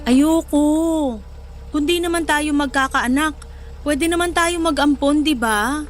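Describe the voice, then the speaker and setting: An actress playing a sensitive, chiding young wife with a Filipino accent in emotional, colloquial radio drama dialogue. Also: female voice, high-pitched, takes it slowly, soft background music. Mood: hurt, chiding.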